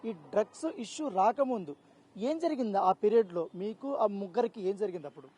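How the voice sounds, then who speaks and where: A woman's voice: medium pitch, expressive, a little fast, dark tone, breathy edge. A woman interviewing for a news channel, asking probing questions mixed with English words. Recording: faint background ambient noise.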